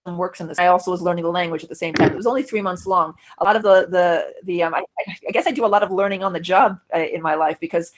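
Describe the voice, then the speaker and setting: Lower-voiced woman, russian is her first language, chatting on a podcast, keeps restarting her sentences. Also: quick pace. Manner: thoughtful, self-correcting, frequent restarts and fillers. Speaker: podcast guest. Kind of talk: casual conversational interview.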